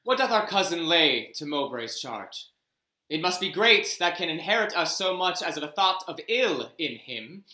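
A man's voice: fairly high pitch, very animated, normal speed, sharp tone, echoey room, heavily acted. A man voicing a commanding young king in a Shakespeare reading over a video call, with regal verse delivery. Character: King Richard, commanding young male monarch. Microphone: video call webcam.

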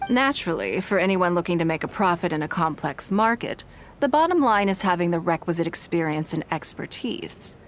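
A woman voicing a poised, shrewd businesswoman in a game, speaking in a composed, measured, elegant way. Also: lowish voice, animated, a little hiss or hum, close to an everyday voice. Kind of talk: game dialogue.

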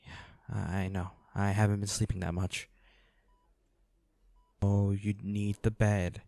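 Man acting slow, low-voiced protective hunter boyfriend. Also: flat delivery, creaky, dark tone, no echo. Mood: tender, weary. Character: protective, defiant boyfriend, a monster hunter, tender but ruthless. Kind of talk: intimate roleplay audio drama.

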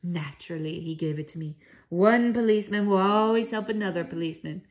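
A woman's voice: lowish voice, fairly quick, a little mellow, voice pushed down, close to an everyday voice. A woman voicing a bold, proud old Norwegian grandmother in a storybook, speaking with assured, dramatic emphasis and affection. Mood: self-assured, proud.

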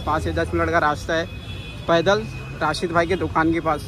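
Chatty vlogger walking city streets. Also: male voice, a little high, flat delivery, moderate pace. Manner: chatty, keeps saying brother and guys. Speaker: moto vlogger. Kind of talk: casual walking vlog to viewers. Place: busy city streets and a station outdoors. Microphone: action camera carried while walking. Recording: loud music over street noise.

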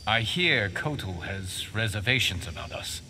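Brooding martial-arts hero. Low, earnest game dialogue over heavy noise. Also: male voice, expressive, a little slow. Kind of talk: video game dramatic acting. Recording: loud background noise.

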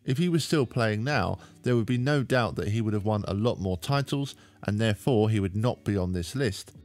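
A man hosting a sports video, giving a measured, explanatory scripted narration into a close microphone. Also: fairly low pitch, darkish tone. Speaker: host of a bowling video channel. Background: background music.

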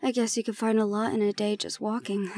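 A woman's voice: medium pitch, little pitch movement, no echo, close to an everyday voice. Woman playing a shy gorgon, close dry sound. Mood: wistful, amused. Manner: soft, hesitant, halting. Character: shy, homesick young gorgon woman, gentle and wistful. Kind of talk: intimate audio roleplay acting.

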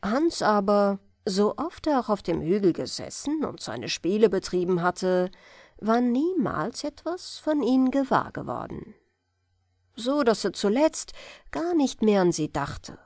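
A woman reading a fairy tale aloud as a bedtime audiobook, calm and gentle in her storytelling. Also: medium pitch, a little slow, no room sound, studio quality. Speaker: audiobook reader.

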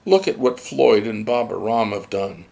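A man's voice: on the low side, moderate pace, gravelly, a little mellow, poor audio. A man voicing Johann, an anxious, exasperated old male gull in a spoken story.

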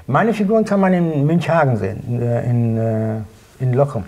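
Middle-aged male interview guest, rough and creaky, medium pitch, plain-spoken and matter-of-fact. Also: moderate pace, mellow. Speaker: interview guest, sculptor and illustrator. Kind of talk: casual interview answers. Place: small indoor talk-show studio.

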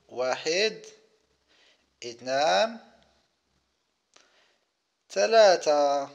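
A man hosting a children's gaming video, giving simple commentary in short, clear-cut phrases for young viewers. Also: on the high side. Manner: counts aloud, speaks in short simple phrases.

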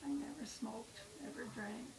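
Very old lady chatting in a care home room, halting and modest, people talking around her. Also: a little high, crowd noise. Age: over 90. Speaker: interviewee. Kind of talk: casual conversation.

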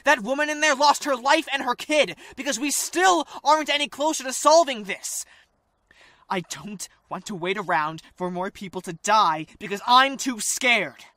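A performer acts out a wounded, defiant teenage boy in a zombie apocalypse drama. The voice is bright and tense, with an earnest, insistent delivery that sounds dramatic and raw. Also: mid-range voice, lively intonation, moderate pace, no room sound. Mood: frustrated, resolute. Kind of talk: dramatic audio acting for visual-novel fan series.

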